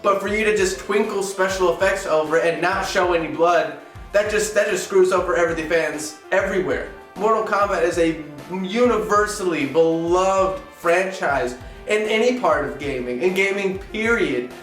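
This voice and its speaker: Man, fairly high-pitched, casual review commentary, slangy, exasperated, soft music behind. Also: normal speed. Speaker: co-host of a gaming review show. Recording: music playing under the voice.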